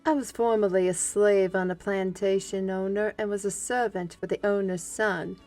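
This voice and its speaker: A woman playing a learned, gracious half-animal former slave in a fantasy audio drama, speaking in a measured, formal way. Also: medium pitch, darkish tone, natural voice. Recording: background music under the voice.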